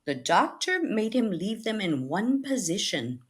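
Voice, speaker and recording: A woman's voice: mid-range voice, big pitch swings, a little slow. A woman playing a scatterbrained, ailing spinster, cheerfully morbid and rambling dolefully, in 1940s radio comedy style. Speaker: actress in a radio comedy recreation.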